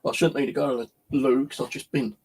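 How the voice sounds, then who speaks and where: A man's voice: mid-range voice, steady tone, quick pace, a little mellow, slightly rough. A British man chatting casually on a livestream as its host, rambling and hesitant in an informal way.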